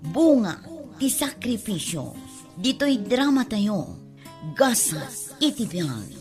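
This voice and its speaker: A woman's voice: medium pitch, big pitch swings, slow, darkish tone. Casting: female radio drama announcer, scripted series outro, faint music underneath.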